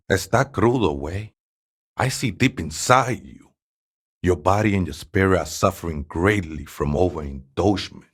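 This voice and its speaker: A streetwise convert with a low-pitched voice and a Chicano accent, in a video game. He is a devout former drinker who mixes barrio slang with fervent preaching, urging others toward salvation. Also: heavily acted. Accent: Chicano Spanish-English. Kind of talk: character dialogue for a role-playing game.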